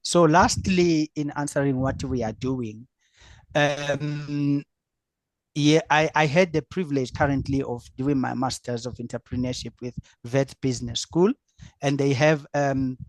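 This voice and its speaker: A middle-aged man from South Africa with a South African accent whose first language is Afrikaans, answering as a business coach on an online panel, halting with fillers and restarts. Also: medium pitch, fairly even intonation, no room sound, low-quality recording. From South Africa, Free State. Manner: halting, many fillers and restarts, laughs easily.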